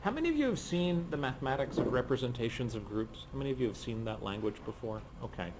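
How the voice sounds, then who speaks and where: A man with a cold gives a university lecture in a lecture room, his hoarse voice kept low to save it. His pitch rises and falls in a lively way as he explains, with slight background noise. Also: fast talker. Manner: speaks softly to save his voice, with frequent ums. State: has a cold, losing his voice. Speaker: physics lecturer.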